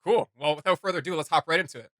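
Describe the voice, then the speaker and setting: A man hosting a reaction video with casual, excited commentary, speaking in an emphatic, slangy, exclamatory way. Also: a little high, racing, no room sound, clean studio sound. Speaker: reaction channel host. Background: music.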